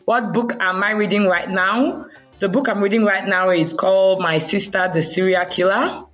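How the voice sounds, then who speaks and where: A woman from Cameroon speaks as a podcast guest. She talks plainly and warmly about what she loves. A faint bed of music sits quietly under her voice. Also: medium pitch, steady tone, normal speed, lo-fi sound. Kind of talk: casual interview answers.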